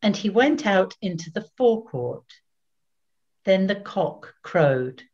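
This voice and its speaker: An older woman reading scripture as narrator in a deliberate, solemn, scripted manner. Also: mid-range voice, sing-song, darkish tone, muffled recording. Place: small plain room at home.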